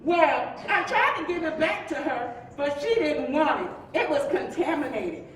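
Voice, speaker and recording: Actress doing a sassy gossipy 50s married lady, high swoopy voice, stage reading, super echoey hall. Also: normal speed. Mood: gossipy, amused. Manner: animated, gossipy storytelling with folksy endearments. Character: sassy, chatty married woman friend in the 1950s. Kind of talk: live stage reading of a play. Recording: echoey hall from far off, faint rumble.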